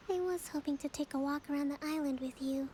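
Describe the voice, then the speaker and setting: A woman voicing a gentle, composed young island leader in a video game, polite and measured but overworked. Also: high-pitched, a little hiss or hum, close to an everyday voice. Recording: game music behind the voice.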